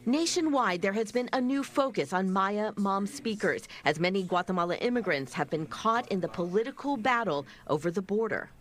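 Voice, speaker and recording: A woman reporter reading a scripted news story in a measured, storytelling way. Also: medium pitch, lively intonation.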